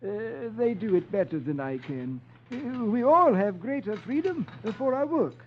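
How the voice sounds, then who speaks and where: High thin old man wizard, hesitant and dotty, old radio drama, muffled n hissy. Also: big pitch swings. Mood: mild, apologetic. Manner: halting, hesitant, with little uhs. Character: little old bald eccentric scholar-sorcerer, mildly absent-minded. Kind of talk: 1950s radio comic-strip dramatization.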